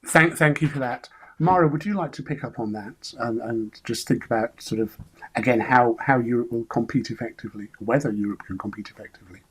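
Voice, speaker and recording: A man's voice: mid-range voice, a little fast, slightly rough, rough recording. A man moderating a panel discussion, courteously passing questions to the speakers. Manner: courteous, handing over questions.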